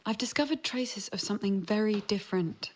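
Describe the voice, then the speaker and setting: An actress playing a scientist who explains her findings in a measured way. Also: medium pitch, animated, natural voice. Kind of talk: radio drama acting.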